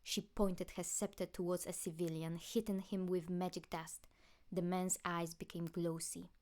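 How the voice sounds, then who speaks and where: A woman's voice: lowish voice, little pitch movement, moderate pace, darkish tone. A woman reading scripted fiction as an audiobook narrator.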